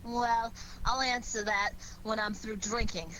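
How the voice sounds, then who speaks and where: An actress playing a playful, coy sweetheart and amateur sleuth in an old-time radio drama. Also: female voice, a little high, steady tone, a little slow, fairly bright tone, low-quality recording. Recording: noticeable background noise.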